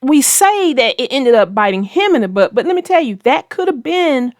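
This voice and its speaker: Lady host chatting about a kids story, really animated, makes her points firmly, dry sound. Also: high voice, moderate pace, dry recording. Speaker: host of a children's read-aloud show. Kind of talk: conversational story discussion and safety advice.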